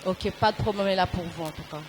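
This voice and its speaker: A woman, a gospel choir singer giving hesitant, halting answers in a TV interview. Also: mid-range voice, faint music behind.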